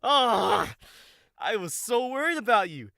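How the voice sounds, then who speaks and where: Excitable young rebel mechanic guy, played by a woman, bright forceful voice, swooping intonation, close dry sound. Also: on the high side, measured pace, creaky, no echo, exaggerated voice. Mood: relieved, excited. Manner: effusive, casual, slangy. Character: excitable, affectionate young male revolutionary mechanic. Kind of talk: audio drama acting.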